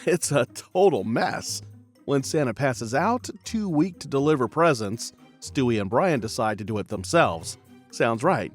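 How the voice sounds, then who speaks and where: A man's voice: mid-range voice, expressive, a little slow, soft background music. A man narrating a cartoon recap in a playful, chatty scripted style. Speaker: channel narrator.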